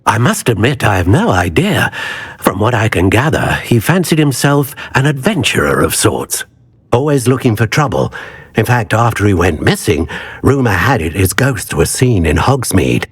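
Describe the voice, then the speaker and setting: Old British wandmaker: very husky, low voice, courteous and earnest, swooping expressive phrasing for a game. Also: male voice, heavily acted. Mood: musing. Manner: genteel, measured, expressive. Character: courteous elderly male wandmaker, earnest and wistful. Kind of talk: video game acting.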